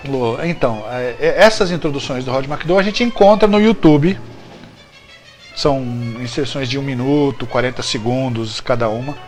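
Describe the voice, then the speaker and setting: A man's voice: mid-range voice, normal speed, faint music behind. A Brazilian man hosting a show, talking casually and jokily about trivia and often correcting himself. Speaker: show host. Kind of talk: casual, chatty TV-trivia commentary.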